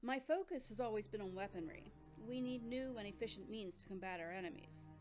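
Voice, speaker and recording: A woman's voice: medium pitch, expressive, a little fast, over music, natural voice. A woman playing a composed military scientist in an audio drama, formal and matter-of-fact in manner. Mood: composed, businesslike.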